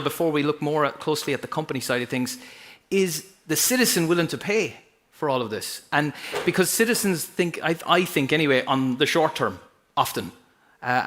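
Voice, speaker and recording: Bright Irish-accented male moderator. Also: a little high. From Ireland. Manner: hesitant, with restarts and fillers. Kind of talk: moderating a panel. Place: stage at a university event.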